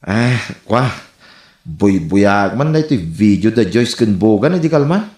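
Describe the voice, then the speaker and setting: A man's voice: on the low side, very animated, unhurried, darkish tone. A man with a northern Philippine accent playing an easygoing, gossipy neighbour in a comedy radio drama. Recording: roomy echo.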